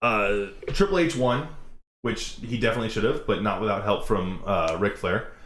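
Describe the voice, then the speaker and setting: A man with a loud voice hosting a casual reaction chat, rambling excitedly and often restarting his sentences. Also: lowish voice, moderate pace. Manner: excited, rambling, self-interrupting. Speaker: show host. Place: outdoors in the shade. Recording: roomy with faint noise.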